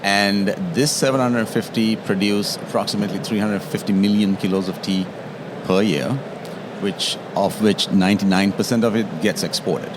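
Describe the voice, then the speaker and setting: Sri man, very low voice, guest expert on a noisy show floor. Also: steady tone, moderate pace. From Sri Lanka. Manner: explanatory, tags statements with "yeah?". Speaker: tea taster and guest. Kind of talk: interview at a trade show.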